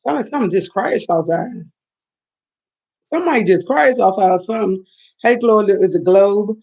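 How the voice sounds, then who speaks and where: A woman's voice: mid-range voice, normal speed, a little mellow. Chatty woman in her fifties. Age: 50s. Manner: rambling, chatty, reacts to viewer comments. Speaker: livestream host. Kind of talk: late-night live chat with viewers. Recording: dull lo-fi sound.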